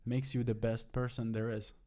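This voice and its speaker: A man's voice: mid-range voice, flat delivery, moderate pace, darkish tone. A man from Iran in casual podcast conversation, speaking earnestly and reflectively. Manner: reflective, earnest, quotes his mother.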